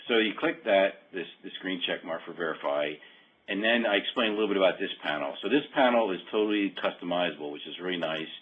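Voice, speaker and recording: A man giving an informal, hesitant, explanatory walkthrough of a software demo, often rephrasing mid-sentence. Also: deep voice, slightly rough. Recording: muffled phone-like call audio.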